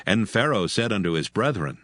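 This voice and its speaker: A man's voice: lowish voice, big pitch swings, fairly quick, a bit bright, dry recording, studio quality. A man narrating a dramatized scripture story from a script. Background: faint music.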